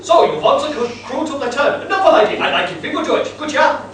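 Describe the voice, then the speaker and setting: A man in an amateur radio play playing a shifty foreign schemer who blusters haltingly. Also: very high voice, expressive. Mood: insistent. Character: shifty foreign schemer posing as a Welsh villager. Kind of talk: amateur live comic radio play acting. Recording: echoey hall from far off, noisy.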